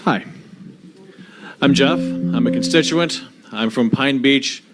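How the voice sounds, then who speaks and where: A man in his forties addressing a town hall meeting through a handheld microphone, loud, sarcastic and confrontational. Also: medium pitch, slow pace, over music. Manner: loud, insistent. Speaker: constituent speaking at a town hall. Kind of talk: impassioned public address. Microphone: handheld microphone at a public meeting.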